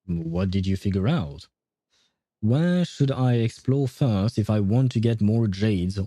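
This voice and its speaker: A man's voice: on the low side, mellow, no echo, clean studio sound. A man hosting a gaming livestream, chatting casually and rambling as he thinks aloud. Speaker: livestream host, gamer. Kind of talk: casual livestream chat and game advice.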